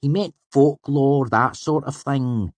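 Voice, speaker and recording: A man's voice: medium pitch, takes it slowly, dry recording, voice pushed up. A man voicing a fussing, exasperated young male student who chides his friend in a storybook. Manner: pressing, chiding.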